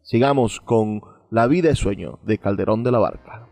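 A man's voice: on the low side, moderate pace, vocal fry, a little mellow, dry recording, faint music behind. A man hosting a radio show, giving explanatory literary commentary and addressing his listeners as he introduces a recorded play.

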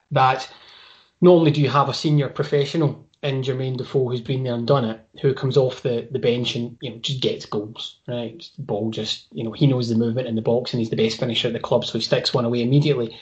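A man talks through a long point on a football podcast, working it out as he goes and repeating words. His pitch barely moves. The sound is slightly dull and lo-fi. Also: darkish tone. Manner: long analytical turns, repeats words as he thinks. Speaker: podcast guest. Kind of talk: casual football podcast chat.

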